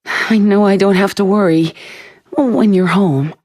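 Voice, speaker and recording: A woman playing a caring, gentle mother in a video game, tender and reassuring. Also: mid-range voice, measured pace, no room sound, studio quality, close to an everyday voice. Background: faint music.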